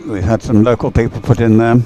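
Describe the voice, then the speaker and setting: Man, live race commentary, halting, thinking aloud, music in background. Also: medium pitch, little pitch movement, warm tone. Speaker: sports commentator.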